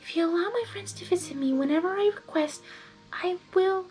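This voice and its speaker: Woman plays young female cartoon pony, high gentle voice, earnest, pleading, quietly stubborn. Music underneath. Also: fairly quick, low-quality recording. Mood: resolute.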